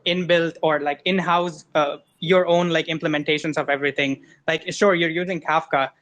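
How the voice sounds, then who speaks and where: A man putting technical questions casually, in a halting way. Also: on the high side, fairly even intonation, a bit bright, lo-fi sound.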